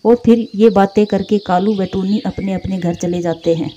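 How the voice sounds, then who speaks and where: A woman's voice: mid-range voice, fairly even intonation, normal speed, dark tone, highs cut off. A woman reading scripted narration for a Hindi cartoon story.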